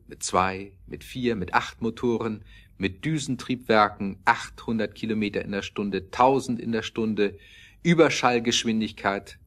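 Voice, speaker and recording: Male narrator, deep voice, measured storytelling with dramatic emphasis for a scripted audio play.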